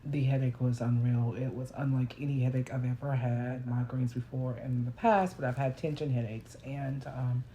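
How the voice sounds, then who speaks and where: A woman with a naturally deep voice, hoarse while ill, telling a casual, rambling personal story and correcting herself as she goes. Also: steady tone, normal speed, few pauses, warm tone, slight background noise. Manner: rambling, self-correcting, conversational. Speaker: vlogger.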